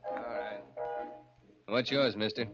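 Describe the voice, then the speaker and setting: An actor playing a gruff, wary roadside bar keeper in a radio drama, blunt and folksy, with an American accent. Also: male voice, lowish voice, fairly even intonation, slow. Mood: gruff, curious. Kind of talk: 1940s radio acting. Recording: muffled old radio drama, music behind.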